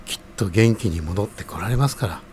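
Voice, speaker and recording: A man playing a kindly middle-aged bar owner in an audio drama, polite, soothing and measured. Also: lowish voice, animated, a little mellow, a little hiss or hum, natural voice. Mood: reassuring. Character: kindly older male bar owner, calm, fatherly, courteous.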